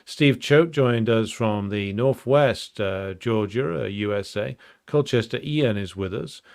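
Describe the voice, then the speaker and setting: A man hosting a live stream, chatting casually and reading out viewer comments, halting with many ums and uhs. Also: lowish voice, gravelly. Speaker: livestream host.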